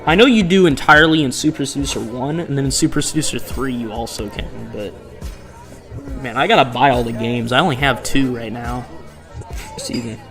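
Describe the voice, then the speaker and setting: A man's voice: mid-range voice, fairly quick, fairly bright tone, faint music behind. A man live-streaming a dating game, reacting with sarcastic, incredulous commentary and reading options aloud.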